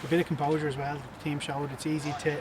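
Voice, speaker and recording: A man's voice: fairly high pitch, little pitch movement, fast talker. A man, a club interviewer putting prepared questions to the manager. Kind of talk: post-match interview questions. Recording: loud crowd noise behind.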